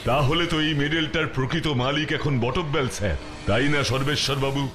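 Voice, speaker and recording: A man's voice: medium pitch, fairly quick, close to an everyday voice. A man voicing a brave, righteous superhero detective in a children's cartoon, speaking earnestly and firmly. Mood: firm, reasoning.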